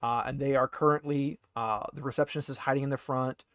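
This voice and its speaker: A man running a tabletop roleplaying game, explaining rules and narrating in a casual, halting way as he thinks aloud. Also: medium pitch, steady tone, a bit bright, dry recording, studio quality. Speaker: game master.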